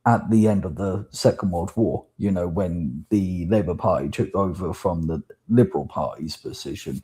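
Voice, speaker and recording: Guy hosting a stream, deep husky sore-throat voice, kinda low. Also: warm tone. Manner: measured, thanks guest at length. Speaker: YouTube channel host. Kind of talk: livestream political chat.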